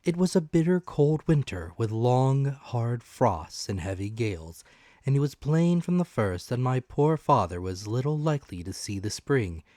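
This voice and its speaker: Guy narrating an old adventure audiobook, expressive n deliberate, medium pitch, dry sound. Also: dry recording.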